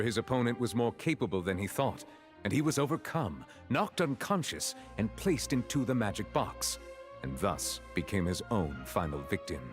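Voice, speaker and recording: An actor voicing a stern, formal chief justice who lays out a case in a video game. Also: male voice, lowish voice. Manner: measured, formal, deliberate.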